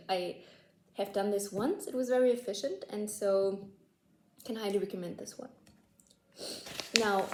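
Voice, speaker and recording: Young female host, mellow voice, chatty and self-deprecating, echoey room. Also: medium pitch. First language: German. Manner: chatty, laughs at herself, many "like" fillers. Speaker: language-learning video host. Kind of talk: casual talking-head tips video.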